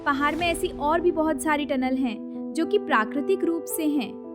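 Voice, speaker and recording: A woman voicing a high-pitched village bahu. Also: moderate pace, music in the background, natural voice. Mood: hopeful. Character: resourceful young village daughter-in-law.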